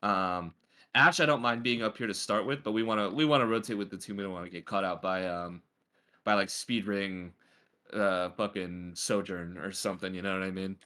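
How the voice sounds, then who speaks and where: A man's voice: low-pitched, fairly even intonation, normal speed, bright, forceful tone. A man coaching a player through a game replay in a casual, chatty way, with a slightly stuffy nose. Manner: chatty, lots of filler words. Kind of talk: casual gameplay review.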